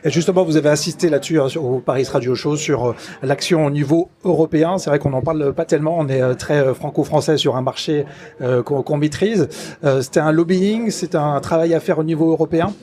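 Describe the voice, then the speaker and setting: Very quick, higher-voiced male interviewer, mellow. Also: fairly even intonation. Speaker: radio show interviewer. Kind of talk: casual interview questioning.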